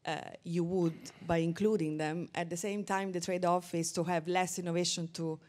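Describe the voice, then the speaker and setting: A woman asking a long, halting question from the audience at a conference. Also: fairly low pitch, fairly even intonation, darkish tone. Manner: searching, halting phrasing.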